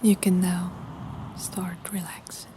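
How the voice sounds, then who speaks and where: Slow, low-voiced woman guiding meditation. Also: level tone, background noise. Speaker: guided meditation narrator. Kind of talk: scripted guided meditation, hypnosis narration.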